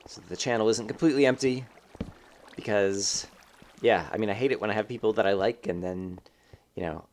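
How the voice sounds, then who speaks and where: A man's voice: on the low side, a little hiss or hum. A man hosting a game let's play, thinking aloud in casual, rambling commentary full of fillers, sounding slightly stuffed up.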